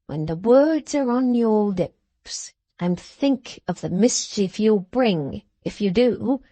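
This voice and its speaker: A woman voicing a savage, rough man, a mocking villain who drawls his threats in an audiobook. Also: mid-range voice, lively intonation, slow, mellow, no room sound. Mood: menacing. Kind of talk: dramatised audiobook reading.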